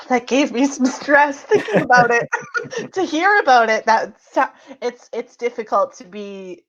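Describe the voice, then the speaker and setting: A woman's voice: fairly high pitch, big pitch swings, measured pace, a bit bright, slight background noise. Canadian woman host, slightly dull audio. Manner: attentive, fills with um and mm-hmm. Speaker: interview host. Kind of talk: conversational interview.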